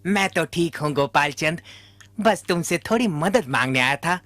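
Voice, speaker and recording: A man's voice: on the high side, animated, moderate pace, a bit bright, no room sound, soft background music. A man playing a deferential, ingratiating trader in a Hindi cartoon. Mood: chummy, ingratiating. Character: smooth-talking, deferential male trader protesting his innocence.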